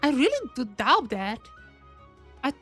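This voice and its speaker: A woman giving casual live commentary as she plays a game, thinking aloud in an excitable, exclamatory way. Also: high-pitched, unhurried, husky, faint music behind. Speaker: streamer playing a game.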